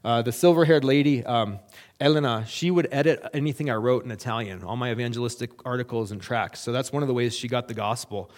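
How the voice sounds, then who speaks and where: A middle-aged man, a missionary, giving a halting, earnest report to a church with many fillers and restarts. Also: medium pitch. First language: English.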